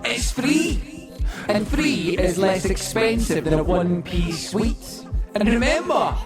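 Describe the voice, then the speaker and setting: A man's voice: sits high, very animated, slow pace, exaggerated voice. A man performing a brooding personified memory that preaches in rhythmic, incantatory rhyme in an audio play. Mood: ominous, knowing. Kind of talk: poetic spoken-word monologue in an audio play. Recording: very echoey, distant voice under loud music. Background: music score.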